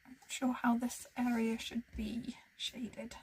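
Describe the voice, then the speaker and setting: Woman, husky, soft-spoken, half-whispered asides, casual colouring narration. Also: a little high, little pitch movement, unhurried, low-quality recording. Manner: soft-spoken, musing, often drops to a whisper; talks to herself as she works. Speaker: colouring channel host.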